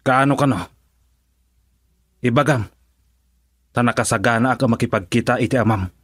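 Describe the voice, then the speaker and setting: A man's voice: mid-range voice, normal speed, fairly bright tone, breathy edge, no room sound. A proud, defiant husband in an Ilocano radio drama. His mid-range voice is recorded dry and close, with a challenging, stubborn manner. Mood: defiant, irritated.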